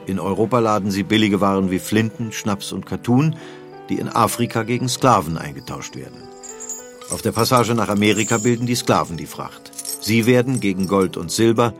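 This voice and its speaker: Low-pitched male documentary narrator. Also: normal speed, soft background music. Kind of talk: scripted narration.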